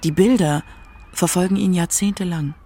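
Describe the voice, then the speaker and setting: A woman narrating a scripted literary radio feature with measured, dramatic emphasis. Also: fairly low pitch, dark tone, husky.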